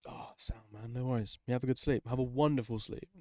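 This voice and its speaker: A man's voice: medium pitch, fairly even intonation, fairly quick, dark tone, no room sound, studio quality. A man casually chatting on a livestream while he works, informal and sweary, often muttering to himself. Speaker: livestream host, music maker.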